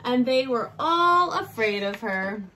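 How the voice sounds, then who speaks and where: A woman kindergarten teacher reads to young children in a slightly high voice that moves up and down a lot. She takes her time and speaks right to the children, checking in with questions. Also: slow, slight background noise, poor audio. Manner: expressive, addressing children directly with check-in questions. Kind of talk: read-aloud storytelling for young children. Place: small decorated indoor room.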